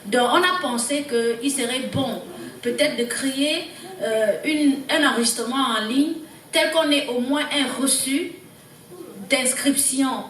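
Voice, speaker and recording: A woman presenting a group report to a party meeting, halting and repeating words as she goes. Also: high-pitched. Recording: echoey hall, distant mic, background noise.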